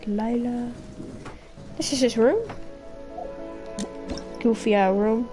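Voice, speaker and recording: A young woman with a fairly high voice chats to viewers while playing a game. She takes her time, rambling playfully in a casual way. Faint music plays behind her. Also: fairly even intonation, takes it slowly. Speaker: game live streamer.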